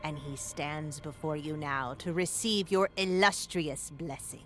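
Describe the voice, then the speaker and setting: A woman's voice: deep voice, fairly even intonation, measured pace, slight background noise. A woman playing a solemn clan priestess in a fantasy game, with a ceremonial, incantatory delivery. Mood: solemn reverence.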